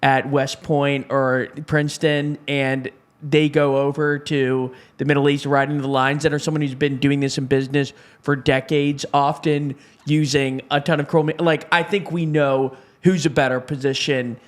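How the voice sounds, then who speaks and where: A man's voice: a little high, flat delivery, normal speed. Conversational man hosting a news chat. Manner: conversational, asks questions, wry asides. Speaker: host. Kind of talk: casual political discussion.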